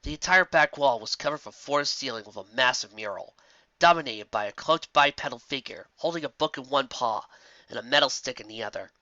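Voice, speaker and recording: Male narrator for fan fiction audiobook: expressive, forward tone, dry room, slightly lo-fi sound. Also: medium pitch, fairly quick, dry recording.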